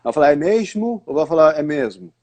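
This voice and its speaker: A man's voice: fairly high pitch, expressive, brisk, darkish tone. A Brazilian man, an English teacher, explaining pronunciation in a live stream conversation, often asking rhetorical questions. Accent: Brazilian Portuguese.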